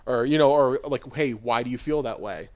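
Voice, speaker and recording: A man's voice: mid-range voice, normal speed, darkish tone, highs cut off. A man back as a returning guest on a gaming podcast, talking in an easygoing way full of "you know" and "I mean". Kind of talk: casual chat.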